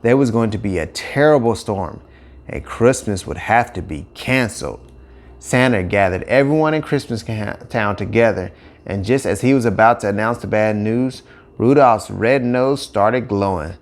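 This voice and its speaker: A man narrating a scripted children's story with expressive, emphatic phrasing. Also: fairly low pitch, normal speed.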